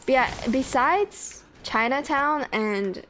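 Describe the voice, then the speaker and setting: A woman's voice: high voice, animated, slow, fairly bright tone. A woman whose first language is Cantonese, streaming a game in casual chat, swearing freely and laughing often. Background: faint game music.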